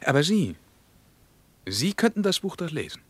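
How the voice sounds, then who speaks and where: An actor playing a sceptical West German individualist in a radio play, probing and arguing insistently. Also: male voice, on the high side, very animated, normal speed, breathy edge, no room sound. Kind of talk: mid-20th-century German radio play dialogue.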